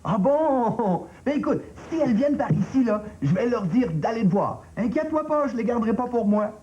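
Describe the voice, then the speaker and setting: A woman's voice: on the high side, lively intonation, brisk, dark tone, lo-fi sound, natural voice. A woman doing a friendly talking mountain. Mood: cheerful. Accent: Quebec French. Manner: easygoing, reassuring.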